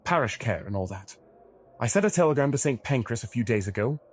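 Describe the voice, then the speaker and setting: A man's voice: medium pitch, lively intonation, fairly quick, natural voice. A man playing an earnest, exasperated, law-abiding Victorian police inspector in an audio drama, with a formal, wordy, imploring manner. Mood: cautious, earnest.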